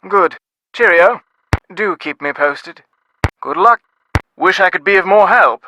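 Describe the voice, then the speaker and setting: A man's voice: high voice, measured pace, pushed and bright, a little hiss or hum, low-quality recording. An actor playing a snobbish, dryly sardonic middle-aged British father from an aristocratic family in a video game, wordy and dismissive. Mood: brisk, polite. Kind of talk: scripted video game dialogue.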